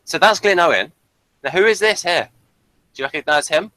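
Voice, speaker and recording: A man co-hosting a casual fan discussion of a TV series, speaking in a hesitant, halting way and often repeating himself. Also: high voice, lively intonation, pushed and bright, no room sound, poor audio. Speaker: podcast co-host reviewing a TV series.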